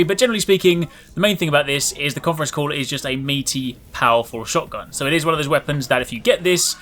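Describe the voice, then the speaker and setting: A man's voice: mid-range voice, bright, forceful tone. A man hosting a gaming guide in an upbeat, chatty YouTuber style with emphatic stresses. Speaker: gaming video host. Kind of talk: scripted video game guide narration.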